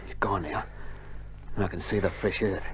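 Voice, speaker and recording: A man's voice: deep voice, steady tone, moderate pace, lightly acted. An actor playing a whingeing, wisecracking, jumpy Australian infantryman in a colloquial 1960s radio drama style. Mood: low, wary. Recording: noisy old vinyl radio drama recording.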